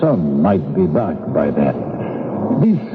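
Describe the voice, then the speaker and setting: Deep-voiced, conspiring Egyptian villain. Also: male voice, sing-song, takes it slowly, exaggerated voice. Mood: sinister plotting. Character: cold, conspiring male Egyptian official, pious villain. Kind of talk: 1970s radio drama acting. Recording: tinny old radio recording, very noisy.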